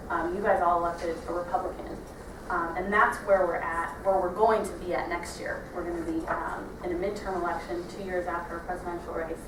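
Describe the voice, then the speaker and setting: A young woman with a Wisconsin accent giving a training talk, halting with many fillers and restarts. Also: on the low side, background noise. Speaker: party trainer. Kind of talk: training presentation. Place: meeting room. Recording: echoey room, mic far off.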